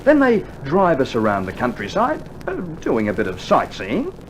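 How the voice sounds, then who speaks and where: A man's voice: mid-range voice, huge pitch swings, moderate pace, soft background music. An actor playing a cheeky, skeptical young Australian infantryman with dry sarcastic banter, in a scripted mid-century radio drama. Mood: teasing, sardonic. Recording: noisy old vinyl radio drama recording.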